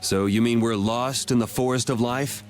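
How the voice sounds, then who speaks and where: A man plays a wry young adventurer for a video game. His mid-pitched voice is dry, cool and casual, with music faintly behind it. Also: steady tone, moderate pace, natural voice. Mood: wry, teasing. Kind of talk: English video game voice acting.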